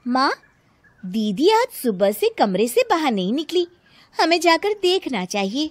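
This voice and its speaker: Soft tired young bahu, polite, high lively voice, as a lady does her. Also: a little slow, dry recording, heavily acted. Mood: pleading. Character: hardworking, tired young daughter-in-law.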